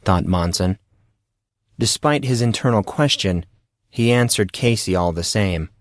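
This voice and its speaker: A man's voice: on the low side, animated, a little slow, dry recording, studio quality. A man narrating a scripted story as the narrator of an audio drama.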